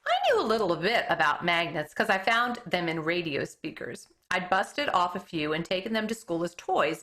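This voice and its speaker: A woman reads a chapter book aloud to her class in a bright, ringing voice. Her pitch swoops up and down freely as she tells the story, and she sometimes trips on a word and fixes it as she goes. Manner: expressive read-aloud, occasional stumbles and self-corrections. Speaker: elementary school teacher reading aloud. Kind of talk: reading a children's book aloud.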